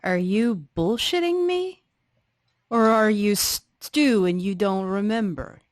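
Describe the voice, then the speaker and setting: A woman's voice: medium pitch, lively intonation, slow pace, no room sound, clean studio sound. A woman playing a tabletop game, roleplaying casually in character.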